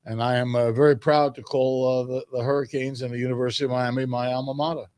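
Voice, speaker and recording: An older man from New York reminiscing as an interview guest, speaking in a measured way with emphatic phrasing. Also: mid-range voice, flat delivery, darkish tone, no room sound. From New York, United States. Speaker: guest, sports public address announcer.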